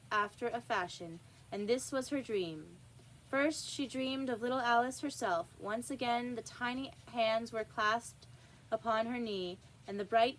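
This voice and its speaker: A woman reading a children's story aloud in an expressive narrating style. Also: a little high, slight background noise, poor audio. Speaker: storybook reader.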